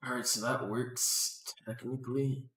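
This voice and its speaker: A man's voice: medium pitch, steady tone, unhurried, a bit bright. A man thinking aloud casually on a livestream as he works, muttering to himself in slangy, sweary talk. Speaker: game developer live-streaming work. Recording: roomy echo.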